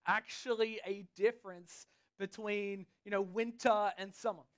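A man's voice: high-pitched, fairly even intonation. Aussie guy preaching, laid-back and chatty, stops every line for the translator. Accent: Australian. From Australia. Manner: casual, chatty, pauses for translation. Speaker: pastor. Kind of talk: sermon with live interpreter.

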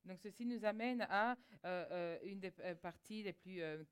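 Hesitant woman interpreter, smooth voice. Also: medium pitch, moderate pace. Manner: hesitant, repeats words while searching. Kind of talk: live sermon interpretation.

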